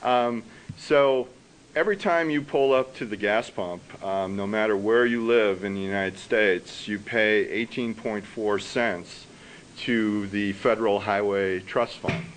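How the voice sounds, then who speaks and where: A man with a booming voice giving a conversational conference talk with fillers, recorded through a microphone set down in a conference hall. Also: a little slow, slight background noise. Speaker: conference speaker.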